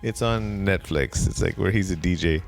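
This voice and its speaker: Low gravelly-voiced podcast host. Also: male voice, normal speed, faint music behind. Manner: teasing, chatty, laughing along. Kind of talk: casual chat.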